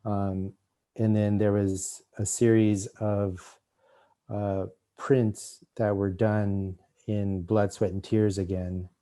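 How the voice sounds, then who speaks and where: A middle-aged man raised in Los Angeles giving an art lecture, halting with many restarts and fillers. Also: on the low side, level tone, mellow. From Mexico, raised in Los Angeles. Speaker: visiting artist lecturer.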